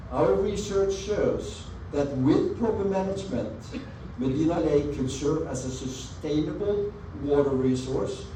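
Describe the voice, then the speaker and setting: A man from Norway with a Norwegian accent, giving an earnest, measured presentation over slides at a community meeting and stressing key words. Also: on the high side, dark tone. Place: meeting room with an audience. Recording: echoey meeting room, mic at a distance, audible background noise.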